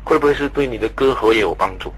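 A man's voice: mid-range voice, fast talker. A man asking questions in a conversational radio interview, polite and deferential in his phrasing. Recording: faintly noisy radio interview recording.

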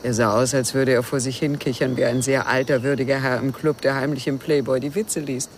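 An actress playing a guarded, wistful widow who speaks evasively and intimately in a German radio crime drama. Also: female voice, very deep voice, steady tone, brisk, a little hiss or hum. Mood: reminiscing, amused.

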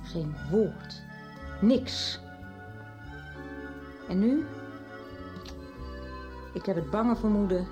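Radio play: confiding middle-aged woman, chatty, halting, faint music bed. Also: medium pitch, animated, lo-fi sound. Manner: confiding, chatty storytelling with hesitations. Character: middle-aged woman confessing her past. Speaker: radio drama character. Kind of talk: 1990s radio drama acting.

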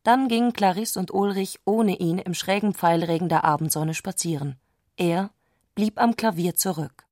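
A woman's voice: lowish voice, normal speed, a little mellow, no echo, studio quality. A woman reading scripted literary prose as a narrator in a radio adaptation.

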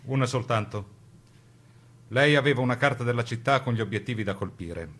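Man acting a condescending fascist interrogator, slightly husky. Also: medium pitch, a little fast, a bit bright, lightly acted. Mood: mocking, insinuating. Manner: condescending, insinuating. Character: menacing fascist interrogator, coldly polite, mocking. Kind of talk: stage radio drama dialogue.

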